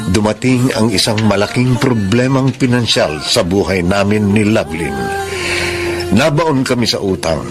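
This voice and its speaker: An actor with a Filipino accent playing a weary, regretful schoolteacher husband in a melodramatic radio drama. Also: male voice, lowish voice. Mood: sorrowful, regretful. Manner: melodramatic, earnest. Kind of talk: Filipino radio drama acting, letter-style narration and dialogue.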